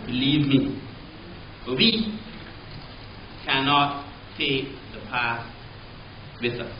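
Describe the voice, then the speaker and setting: A man's voice: mid-range voice, animated, extremely slow, a little hiss or hum. A middle-aged man with a Barbadian accent giving a public lecture, emphatic and rhetorical, stressing key words. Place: lecture hall with audience. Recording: roomy, slightly dull hall recording.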